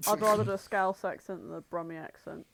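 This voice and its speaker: A woman's voice: mid-range voice, quick pace, gravelly, slight background noise. A woman from Birmingham with an English accent, co-hosting a podcast in casual, joking chat. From Birmingham, England. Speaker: podcast co-host.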